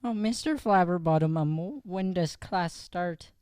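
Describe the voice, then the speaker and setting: A man's voice: high voice, unhurried, a little mellow, clear, resonant tone, no echo, clean studio sound. A man playing an anxious, polite new schoolboy who stammers apologetically in an improvised radio comedy. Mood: meek. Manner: hesitant, nervous, stammering.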